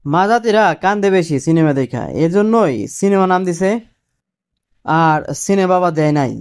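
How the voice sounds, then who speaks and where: A man voicing a cheeky, quick-witted cartoon job candidate who explains things deferentially in colloquial Dhaka-accented Bangladeshi Bengali. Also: high-pitched, a little mellow, clear, resonant tone, natural voice. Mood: confidently explaining. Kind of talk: comic cartoon dialogue.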